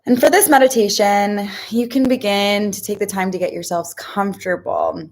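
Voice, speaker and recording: A woman leading a guided mindfulness meditation, speaking to listeners in a gentle, reassuring, informal way. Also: medium pitch, fairly even intonation, normal speed.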